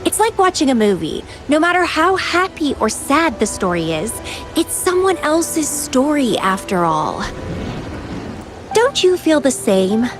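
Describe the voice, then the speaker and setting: A woman's voice: sits high, sing-song, measured pace, over music. An actress playing a playful, carefree, enigmatic young woman in a video game, with a teasing, languid, theatrical delivery.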